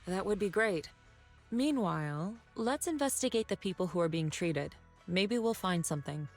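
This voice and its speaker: Low, composed female mentor. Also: lively intonation, normal speed, faint music behind. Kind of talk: video game story dialogue acting.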